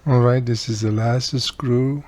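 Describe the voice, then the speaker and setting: A man's voice: mid-range voice, steady tone, a little slow, a little mellow. A man casually explaining a hands-on repair as he works, speaking unscripted with non-native-sounding grammar and often dropped articles. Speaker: radio repair hobbyist and host.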